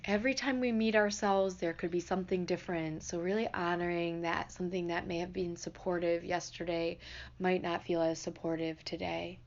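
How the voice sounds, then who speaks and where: A woman leading a guided meditation in a gentle, unhurried, soothing manner. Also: fairly low pitch, fairly even intonation, dull audio. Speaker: meditation teacher.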